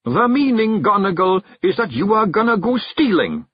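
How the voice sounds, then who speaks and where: A man voicing a fierce, bossy little male fairy clan chief with a gruff, high Scottish brogue. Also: big pitch swings, normal speed, dull audio, a little hiss or hum.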